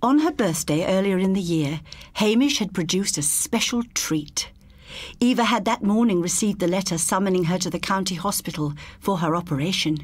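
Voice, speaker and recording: A woman's voice: medium pitch, no echo. Woman storyteller, close dry studio sound. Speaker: audiobook author-narrator. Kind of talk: scripted story narration.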